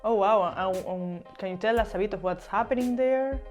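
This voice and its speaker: A woman's voice: mid-range voice, normal speed, music in the background. A young woman from Uruguay, a native Spanish speaker, hosting an online interview show and putting questions to a guest. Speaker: channel host and interviewer. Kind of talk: friendly video interview introduction and questions.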